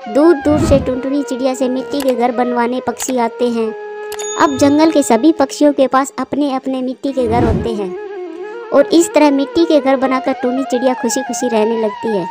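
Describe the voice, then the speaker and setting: Female storyteller, high voice, scripted children's narration, music underneath. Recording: music bed behind the voice.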